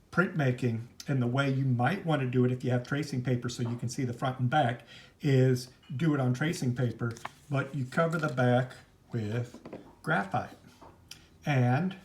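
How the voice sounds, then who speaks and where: A man teaching art in an informal, chatty, rambling hands-on demonstration, easily drifting into asides. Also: mid-range voice. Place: at home, indoors. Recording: noisy home recording, clicks.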